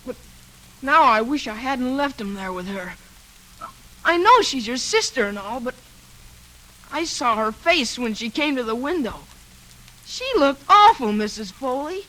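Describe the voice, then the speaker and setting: High, bright-voiced frightened little boy. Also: big pitch swings, unhurried, long pauses, exaggerated voice, low-quality recording. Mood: frightened, regretful. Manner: naive, plain-spoken, emphatic. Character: frightened, earnest young boy confessing to an adult. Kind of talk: 1940s radio drama acting.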